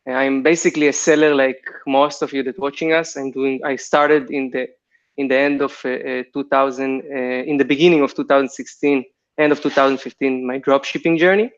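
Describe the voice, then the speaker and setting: A man's voice: medium pitch, fairly even intonation, brisk, highs cut off. A man in his late twenties with an Israeli accent, telling his story as an interview guest, hesitant with many fillers and restarts. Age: 20s. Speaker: guest, software founder.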